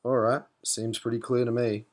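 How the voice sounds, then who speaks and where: A man's voice: mid-range voice, flat delivery, moderate pace, darkish tone, natural voice. A man playing a naive, anxious and questioning spaceship captain in an educational cartoon. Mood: resigned. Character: clueless, anxious male spaceship captain, curious learner. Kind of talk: scripted animated educational dialogue.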